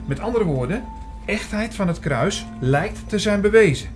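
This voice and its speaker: A man's voice: high-pitched, lively intonation. Man playing an earnest investigator narrating, music behind. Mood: earnest, explanatory. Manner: measured storytelling. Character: earnest male investigator narrating his own story. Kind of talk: scripted audio drama narration.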